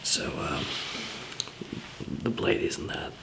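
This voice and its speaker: A man softly whispering and murmuring in an ASMR roleplay, explaining things gently and haltingly as a survivor. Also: background noise. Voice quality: soft whispering mixed with low murmur, gravelly. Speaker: ASMR roleplayer playing a survivor camp member handing out supplies.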